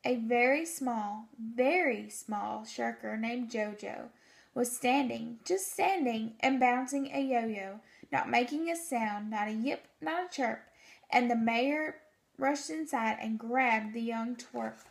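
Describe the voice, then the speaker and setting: A woman teacher reading a storybook aloud and giving children instructions in a deliberate, expressive way. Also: on the high side, vocal fry.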